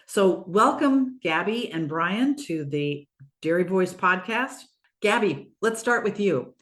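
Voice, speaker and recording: She is a woman hosting a podcast, and her voice sits somewhat low. She welcomes listeners in a friendly, upbeat way and introduces the guests. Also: expressive, slow, fairly bright tone. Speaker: podcast host.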